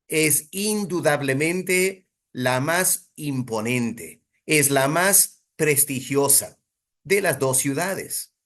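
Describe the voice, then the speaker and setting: A man teaching a Bible study in a deliberate, emphatic sermon style, putting rhetorical weight on his phrases. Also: medium pitch, fairly bright tone.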